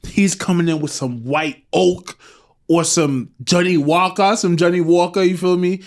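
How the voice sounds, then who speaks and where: A man's voice: high-pitched, measured pace. A tipsy man with an American accent, co-hosting a podcast in casual chat and telling stories with laughter. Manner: animated, laughing storyteller. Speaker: podcast co-host.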